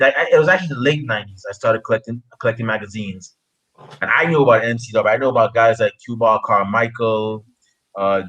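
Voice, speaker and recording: A man from Guyana hosting a casual interview, speaking haltingly and often repeating phrases as he goes. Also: lowish voice, fairly bright tone, lo-fi sound. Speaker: podcast interviewer. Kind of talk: casual chat.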